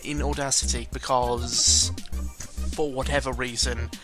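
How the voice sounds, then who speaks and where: A man's voice: mid-range voice, flat delivery, a little slow. A man giving a casual, rambling, sarcastic and sweary chat as a YouTuber, often stumbling over his words, speaking into a close microphone. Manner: self-deprecating, sarcastic, sweary. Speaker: YouTuber recording an audio log. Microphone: close microphone with pop filter. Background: background music.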